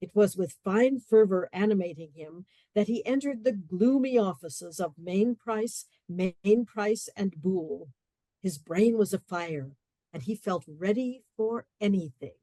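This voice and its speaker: Mellow woman narrating a story. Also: mid-range voice, animated, no room sound. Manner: measured, storytelling. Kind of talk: scripted literary narration.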